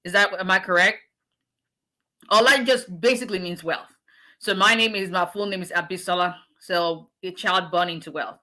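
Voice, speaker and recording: Bright-voiced woman chatting live. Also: on the low side, moderate pace. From Nigeria. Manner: chatty, greets viewers by name, encouraging and preacher-like. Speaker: immigration attorney. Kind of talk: casual livestream chat.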